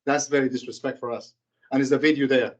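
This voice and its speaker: A man with a Sudanese accent making an impassioned, halting public comment at a board meeting, often restarting and repeating himself. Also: mid-range voice, level tone, lo-fi sound.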